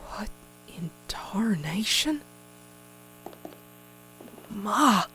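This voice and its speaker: A woman voicing a young country pony, frightened but stubborn, narrating a horror story in a hushed, folksy, suspenseful way. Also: mid-range voice, sing-song, extremely slow, slight background noise, exaggerated voice, rough recording. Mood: anxious, bewildered. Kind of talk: dramatic first-person horror narration.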